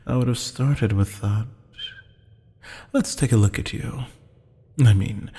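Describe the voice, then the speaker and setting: A man playing a haughty, teasing demon buyer in an ASMR roleplay drama, with a low, gravelly, husky voice and a taunting, mock-polite manner. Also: mellow, exaggerated voice. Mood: teasing, intrigued. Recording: long hall-like reverb.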